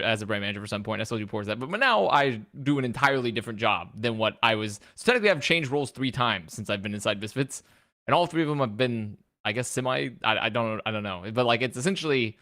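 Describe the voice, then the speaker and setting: An American man co-hosting a casual podcast chat, joking with dry deadpan bits. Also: medium pitch. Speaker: podcast co-host.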